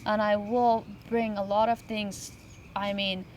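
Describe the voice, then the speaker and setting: A woman's voice: mid-range voice, flat delivery, unhurried, slight background noise. Earnest woman host. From Afghanistan. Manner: earnest, emphatic, repeats phrases. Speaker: talk show host. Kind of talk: heartfelt interview talk. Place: outdoors in a botanical garden.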